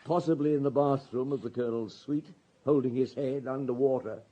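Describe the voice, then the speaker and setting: An actor playing a shrewd, authoritative police superintendent in a radio drama, blunt but genial, with a measured, probing manner. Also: male voice, mid-range voice, fairly even intonation, warm tone, dull audio, close to an everyday voice. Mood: probing, accusing. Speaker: detective.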